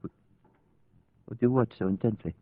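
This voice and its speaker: Foreign professor, continental European accent. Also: male voice, lowish voice, dry recording. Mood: probing concern. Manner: deliberate, inquisitive, foreign word order. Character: earnest, probing foreign professor hunting clues. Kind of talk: old-time radio play acting. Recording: tinny band-limited old radio recording.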